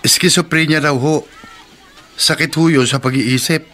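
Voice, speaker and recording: An actor playing an earnest, respectful man who explains gently, in a radio drama. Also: a little high, fairly even intonation, a little slow. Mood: earnest, careful.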